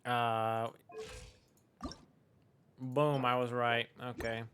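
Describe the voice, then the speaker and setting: A man's voice: mid-range voice, flat delivery, drawn-out words, fairly bright tone, slight background noise. A man live streaming a video game with casual, flippant, wisecracking commentary.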